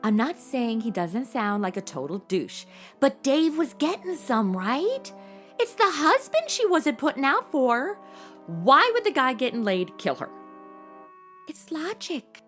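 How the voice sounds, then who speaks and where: Actress doing a flippant twenty-something gal, female, slangy, impatient, gossipy, very animated intonation, faint music. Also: sits high, unhurried, exaggerated voice. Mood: sassy, pushy. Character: sassy, impatient, slang-happy modern twenty-something young woman. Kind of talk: comedy audio drama, chatty asides.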